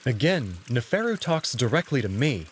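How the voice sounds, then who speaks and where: A man's voice: medium pitch, very animated, normal speed, a little hiss or hum. A man narrating a visual novel in the first person, reading it as a story.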